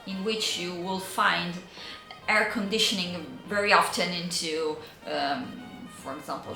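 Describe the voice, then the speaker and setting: A woman with an Italian accent, a native Italian speaker, giving casual style advice in a vlog, often pausing to search for words. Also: mid-range voice, reverberant, rough recording. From Italy. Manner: hesitant, searching for words, frequent fillers and soft laughs. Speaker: vintage style vlogger and yoga teacher.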